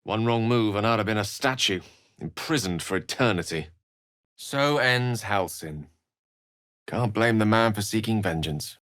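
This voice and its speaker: A learned wizard from a fantasy video game, speaks in a low, very animated voice. He is wordy and articulate, courteous with a wry, theatrical edge. The recording is close and dry, free of any room sound. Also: a little slow, no echo. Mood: thoughtful. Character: eloquent, learned adult male human wizard, wry and courteous. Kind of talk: video game character voice lines.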